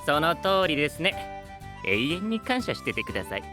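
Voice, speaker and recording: A man's voice: fairly high pitch, sing-song, normal speed, music in the background. A man playing a sly young knight with courteous wording and a smug, teasing manner in an anime-style audio drama.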